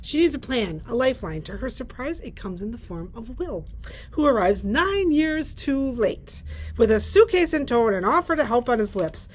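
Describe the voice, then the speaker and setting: A middle-aged woman with a slightly high-pitched voice recommends novels. She reads their summaries aloud in a librarian's presenting style, recorded on a shared mic in a library room. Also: very animated, moderate pace, rough recording. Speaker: librarian presenting book picks. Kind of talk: reading book blurbs aloud with brief chat. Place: indoors, library room with bookshelves.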